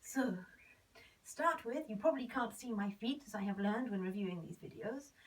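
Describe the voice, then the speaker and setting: A woman teaching a belly dance warm-up while suffering from a cold, her voice hoarse and often slipping into a whisper as she calmly cues each move and counts the beats. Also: on the high side, normal speed. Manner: calm step-by-step cueing, counting beats. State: has a cold, voice nearly gone. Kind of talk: instructing an exercise warm-up. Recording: voice a bit far from the mic.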